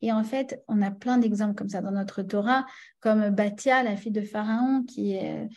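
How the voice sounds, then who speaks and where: A woman's voice: medium pitch, fairly even intonation, moderate pace, darkish tone, muffled recording. A woman with a French accent teaching a religious class, chatty and full of fillers and restarts, often checking in with her listeners. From France.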